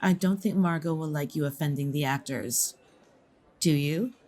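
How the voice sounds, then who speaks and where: A haughty young leading lady in an audio drama, acted by a woman. Her voice sits a little low and sounds husky. She talks down to people with a sneering, commanding manner. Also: normal speed, dark tone. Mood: contemptuous. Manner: imperious, sneering, condescending. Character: haughty, bullying young leading actress of a theater company.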